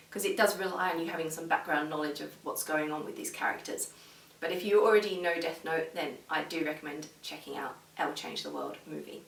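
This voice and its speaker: A woman giving a casual, conversational video review in a measured way, talking through her opinions. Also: on the low side. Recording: echoey room, distant microphone.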